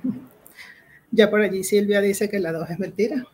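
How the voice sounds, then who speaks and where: A woman's voice: medium pitch, moderate pace, darkish tone. A woman from Venezuela with a Venezuelan accent, explaining agile practice in an online workshop over a video call, with frequent fillers. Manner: explanatory, addresses the audience directly, frequent fillers "este" and "eh". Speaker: agile coach presenting a workshop.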